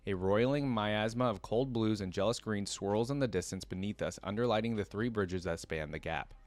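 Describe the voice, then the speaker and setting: A man hosting a reaction video, reading a story aloud and reacting excitedly with many fillers. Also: lowish voice, moderate pace, no room sound. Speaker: reaction channel host.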